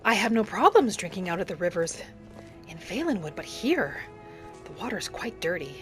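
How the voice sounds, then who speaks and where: A woman narrating a fantasy game roleplay in character as a gentle young wood elf, with an unhurried, musing delivery. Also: lowish voice, very animated, over music. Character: gentle young female wood elf traveler, earnest and principled.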